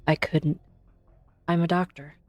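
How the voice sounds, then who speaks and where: A woman playing an earnest, composed doctor and researcher in an amateur game fan dub, polite, measured and sincere. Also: fairly low pitch, level tone, dry recording.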